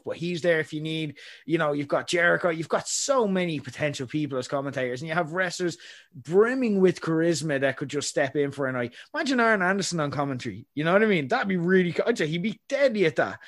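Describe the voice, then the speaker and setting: Opinionated man, slightly high voice. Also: lively intonation, quick pace. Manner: rambling, opinionated, frequent fillers. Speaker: podcast host. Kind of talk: casual chat.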